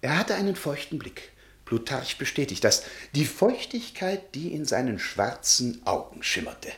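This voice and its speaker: An actor playing a shy, pedantic history professor in a German radio play, precise and scholarly, easily flustered into indignation. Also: male voice, mid-range voice, sing-song, measured pace, fairly bright tone.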